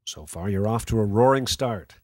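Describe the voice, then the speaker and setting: A man acting as a skeptical late-night talk radio host with a fairly low voice, recorded close and dry. He challenges his callers with wry, sardonic banter in a radio play. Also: expressive, moderate pace, darkish tone, no echo.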